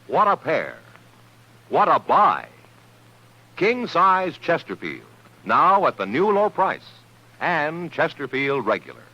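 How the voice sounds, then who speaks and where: A man's voice: fairly high pitch, wildly swooping pitch, takes it slowly, pauses often, bright, forceful tone. A man reading a 1950s radio advertisement as an announcer, with an upbeat, punchy salesman's delivery. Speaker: commercial announcer. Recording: dull vintage radio recording.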